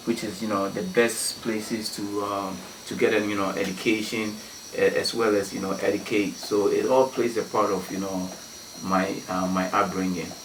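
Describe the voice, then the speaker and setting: A man's voice: fairly low pitch, level tone, normal speed. Talkative man from Ghana, echoey room. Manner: talkative, many "you know" fillers, laughs often. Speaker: guest, community activist. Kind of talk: radio interview storytelling. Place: radio studio. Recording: roomy, distant mic with faint noise.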